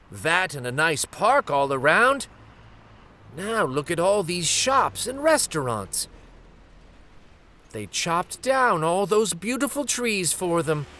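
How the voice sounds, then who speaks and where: A gentle old grandfather who once told stories in the street, acted out by a man reading a picture book. He muses nostalgically and slowly, with small hesitations, and his slightly raised voice rises and falls in a lively way. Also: high voice, full character voice. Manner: hesitant, musing, with little ums.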